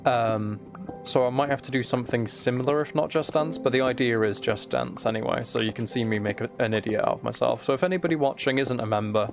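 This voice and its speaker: A man livestreaming a game with a flat, mellow voice, rambling casually and softly to his viewers in a low-key way. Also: fast, creaky, over music. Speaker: live streamer. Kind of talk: casual gaming livestream chat, soft ASMR-like. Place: desk at home, live stream.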